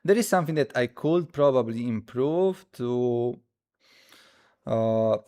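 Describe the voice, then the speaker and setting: A man who speaks as a hosts a casual live coding stream, thinking aloud haltingly and repeating his phrases as he demonstrates. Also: medium pitch, lively intonation, a little mellow. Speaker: livestream host.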